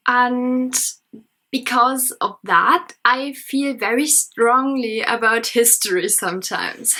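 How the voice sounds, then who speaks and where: German girl, nineteen, talking off the cuff at her parents' place at night, lots of ums, bright voice. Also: on the high side. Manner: self-conscious, chatty, many fillers and restarts. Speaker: history and sociology student. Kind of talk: unscripted casual vlog commentary.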